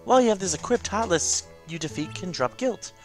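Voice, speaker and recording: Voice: mid-range voice, animated, a little fast, soft background music, voice pushed up, close to an everyday voice. A person voicing a small, eager spirit familiar from a video game in a chirpy, encouraging way. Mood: cheerful, coaxing. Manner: bubbly, encouraging, coaxing.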